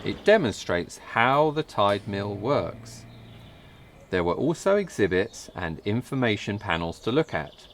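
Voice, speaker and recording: Slow-reading, low-voiced man telling a children's story. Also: big pitch swings, slight background noise. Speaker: storybook reader. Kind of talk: scripted children's story narration.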